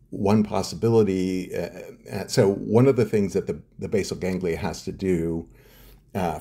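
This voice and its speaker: A man's voice: low-pitched, mellow, husky. A middle-aged man answering interview questions as a scientist guest, explaining step by step with many hesitant fillers and restarts. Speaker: neuroscientist guest.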